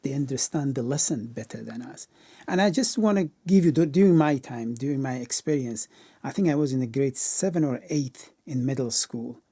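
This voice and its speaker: A man with a Kurdish accent speaks in a husky, slightly breathy voice with a warm, mellow tone. He tells his story in a direct, plain way as a guest in an interview. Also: mid-range voice, animated, normal speed. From Iran. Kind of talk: personal interview account.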